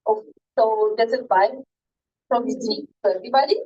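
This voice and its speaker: Woman, student presenter, hesitant Q&A, husky, dull call audio. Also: on the high side, warm tone. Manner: halting, many fillers and restarts. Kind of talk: class presentation Q&A. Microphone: video call.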